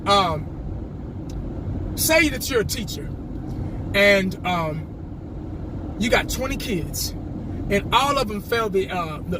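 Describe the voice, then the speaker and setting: A fired-up man vents about his team in a high voice that has gone a little scratchy and hoarse. He trips over his words and repeats himself as he pushes his point. Traffic and street noise hum clearly behind him. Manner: passionate, repeats words when starting sentences. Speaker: sports fan vlogger. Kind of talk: casual fan rant to camera.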